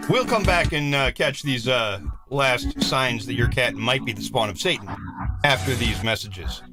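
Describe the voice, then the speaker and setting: A man's voice: mid-range voice, moderate pace, over music. A man co-hosting a live show, reading a comic list in a mock-serious tone. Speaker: co-host.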